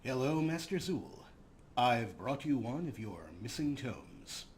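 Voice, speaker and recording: A man's voice: medium pitch, animated, takes it slowly, a little hiss or hum. A man hosting a playful let's play, with a wry, mock-grandiose way of commenting. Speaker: gaming video host.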